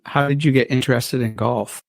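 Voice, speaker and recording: Male podcast host, mid-pitched voice, dry close sound, courteous. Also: fairly even intonation, normal speed, gravelly, no room sound. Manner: calls on guests by name, polite and thanking. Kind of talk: interview questioning.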